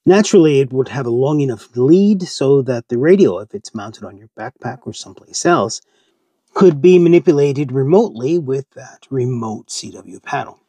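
A middle-aged man giving a casual, measured, explanatory update to camera as a channel host, in an informal style. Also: medium pitch, dark tone, breathy edge, dry recording. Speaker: ham radio channel host. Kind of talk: casual update to camera, answering viewer questions.

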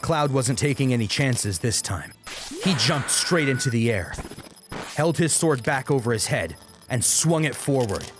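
Male audiobook narrator, lively delivery over music. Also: medium pitch, unhurried. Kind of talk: dramatic scripted story narration. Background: music under the voice.

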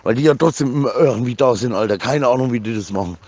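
A man's voice: mid-range voice, fast talker, darkish tone, slight background noise. A man chatting casually in an agitated, rambling way, stammering over repeated words, with slangy, crude swearing. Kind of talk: casual chat, agitated rant.

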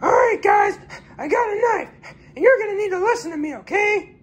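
A man's voice: extremely high pitch, expressive, normal speed, voice pushed up. A man voicing a bratty, scheming young boy who whines, wheedles and turns bossy and threatening in a comedy skit.

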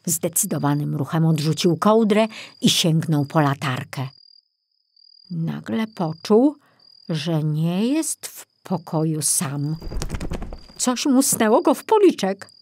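Slow-paced woman narrating a storybook. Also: low voice, expressive, dark tone, a little hiss or hum. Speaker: audiobook narrator. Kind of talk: scripted children's story narration.

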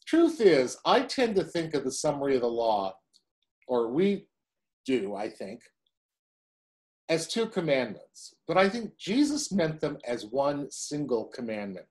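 Older male pastor, lively wry preaching. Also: medium pitch, a little slow. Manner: conversational, wry asides. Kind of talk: sermon.

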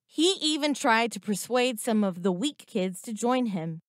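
A woman reading a scripted moral story for children in a deliberate, expressive storytelling manner. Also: fairly high pitch, no echo, studio quality. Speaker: narrator.

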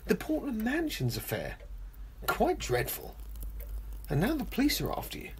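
A woman playing a suave, courteous professor with a husky voice and sinister calm in a radio play. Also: medium pitch, moderate pace, slight background noise. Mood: suave, measured.

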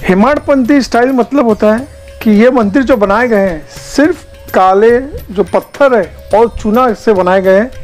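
A man's voice: high-pitched, measured pace, soft background music. A man, vlogging and explaining in a chatty way on a lapel mic, mixing English words in. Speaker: travel vlogger. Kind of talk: casual vlog narration.

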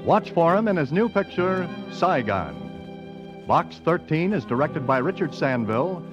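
A male American announcer in 1940s radio style. His fairly high voice moves widely in pitch over clear music, on a muffled old recording. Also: sing-song, measured pace.

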